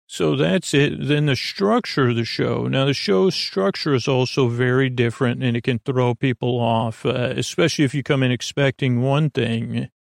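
Male sleep-podcast host, heavy vocal fry, dry close sound, wandering and halting delivery that loops back on itself. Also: mid-range voice, fairly even intonation, no room sound. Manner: meandering, halting, repeats and trails off. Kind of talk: rambling bedtime monologue.

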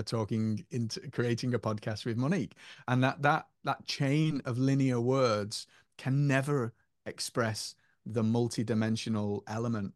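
A man's voice: medium pitch, no echo. Earnest man, dry close sound. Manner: earnest, musing, spiritual. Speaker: podcast co-host. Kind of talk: casual spiritual chat.

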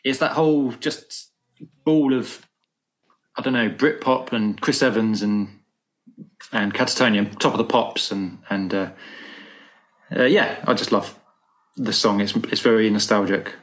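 A man's voice: fairly low pitch, measured pace, long pauses. Rambling nostalgic man from Britain. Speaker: podcast guest. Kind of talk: casual chat.

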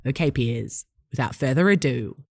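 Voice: medium pitch, expressive, normal speed, creaky, darkish tone, slightly rough. A young adult podcast host reading an upbeat scripted intro and asking effusive, enthusiastic questions.

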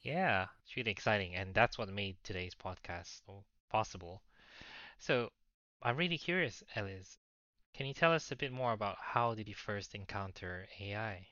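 A man co-hosting a podcast, casually asking a guest questions, sounding relaxed. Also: on the low side, moderate pace, dry recording, highs cut off. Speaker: podcast co-host. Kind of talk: casual interview chat.

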